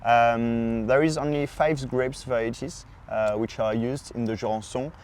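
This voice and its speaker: A Frenchman with a clear French accent gives an informal, explanatory podcast talk as a sommelier. His voice sits at an average male pitch, and his non-native phrasing is hesitant and halting. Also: steady tone, darkish tone, slight background noise. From France. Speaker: podcast sommelier presenting wine regions.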